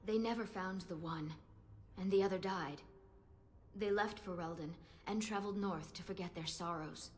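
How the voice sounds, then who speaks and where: A woman's voice: mid-range voice, normal speed, a little hiss or hum, lightly acted. A woman playing a young Dalish elf hunter in a video game, playful and direct as well as earnest. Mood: somber.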